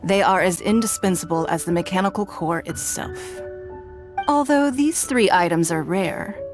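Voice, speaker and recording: A woman playing a poised, wealthy female ruler who speaks in a measured, formal, authoritative way. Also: on the low side, lightly acted. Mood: commanding. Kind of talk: game voice acting. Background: game music.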